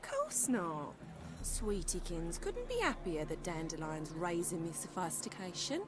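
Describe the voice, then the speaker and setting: A woman's voice: on the low side, very animated, measured pace, background noise. An actress playing a naive, chatty, romantic young working-class woman in a video game, gushing in unpolished grammar. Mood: wistful, chatty. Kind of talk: video game dialogue acting. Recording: music behind the voice.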